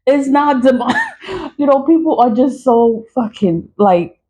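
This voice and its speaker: A woman's voice: sits high, lively intonation, measured pace, dark tone, a little hiss or hum. A woman in her mid-forties ranting casually to her followers, blunt and profane, laughing often between points. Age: 40s. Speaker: social media creator. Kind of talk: casual vlog rant.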